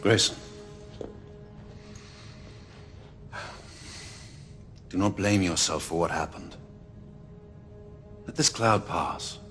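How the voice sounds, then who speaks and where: A senior knight in a cinematic game. His voice sits fairly low, and he speaks with formal, measured dignity, like a steadying counsellor. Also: a bit bright, faint music behind. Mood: consoling. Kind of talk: dramatic video game acting.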